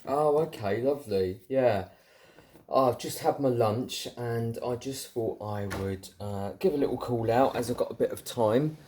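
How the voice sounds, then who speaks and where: A man's voice: medium pitch, measured pace, a little mellow, a little hiss or hum. A man with a southern English accent chatting casually and informally, like a hobbyist making an off-the-cuff vlog. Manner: chatty, informal British slang. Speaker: CB radio hobbyist vlogger.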